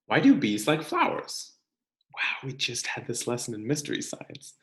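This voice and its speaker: An American man reads a children's picture book aloud with expressive, deliberate storyteller phrasing and the occasional casual aside. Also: medium pitch. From California, United States. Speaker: read-aloud host, teacher reading to children. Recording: echoey room.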